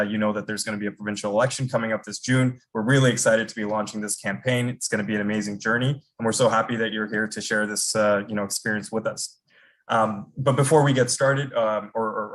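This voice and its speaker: A man's voice: lowish voice, fairly bright tone, low-quality recording. A young man, president of a local riding association, hosts an online campaign launch with upbeat, filler-heavy introductions. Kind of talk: casual event hosting on a video call.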